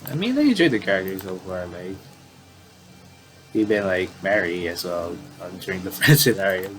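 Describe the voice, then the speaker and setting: A man doing casual game commentary and reading story text aloud, haltingly and with repeated words. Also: deep voice, expressive, faint music behind. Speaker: gaming video commentator. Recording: clearly noisy home recording.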